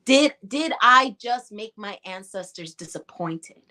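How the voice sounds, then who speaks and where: A woman's voice: mid-range voice, animated, unhurried, sharp tone. A woman with a Chicago Puerto Rican accent, an actress talking passionately and emphatically as a guest in a casual conversation. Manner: passionate, emphatic, acts out quoted reactions.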